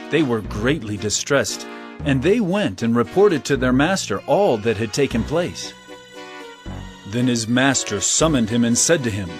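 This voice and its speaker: An actor playing an earnest, authoritative male teacher in a dramatized scripture audio drama, with a solemn, emphatic delivery. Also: mid-range voice. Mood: earnest storytelling. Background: background music.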